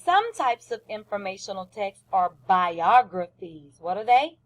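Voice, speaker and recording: Very slow-talking woman teaching young children. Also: medium pitch, very animated. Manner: simple, explanatory, with repetition and questions. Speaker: first-grade teacher. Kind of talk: read-aloud lesson for young children.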